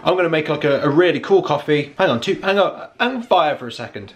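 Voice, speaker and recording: A man's voice: on the high side, expressive, brisk. A man, chatting playfully and casually to a handheld vlog camera. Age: 20s. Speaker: family vlogger, father. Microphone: camera held at arm's length. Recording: loud music over the voice.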